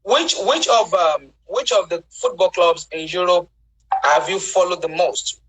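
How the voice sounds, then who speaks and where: Husky-voiced hesitant male host. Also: sits high, measured pace, fairly bright tone, rough recording. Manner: hesitant, stumbling restarts. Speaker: interview host. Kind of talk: casual online interview.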